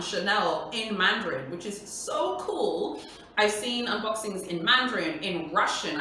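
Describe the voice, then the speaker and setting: A woman's voice: mid-range voice, bright, forceful tone, slight background noise. A woman whose first language is Swahili, hosting a casual Q&A for her viewers in a chatty, emphatic way and repeating her points. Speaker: luxury YouTuber host. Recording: echoey room, voice far from mic.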